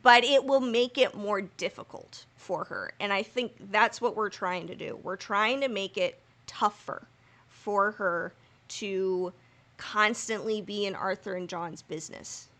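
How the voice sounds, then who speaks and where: A woman co-hosting a fan podcast over a remote call, with a voice of middling pitch. She lays out her reasoning earnestly and argues her point. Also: a little slow, bright, forceful tone. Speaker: podcast co-host. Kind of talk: casual analytical discussion. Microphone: remote call mic.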